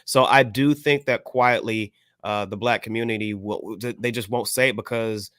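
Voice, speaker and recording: An American man co-hosting a podcast, discussing things casually in a measured, careful, hedging way. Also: on the low side, fairly even intonation, fairly bright tone, no room sound, studio quality. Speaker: podcast co-host.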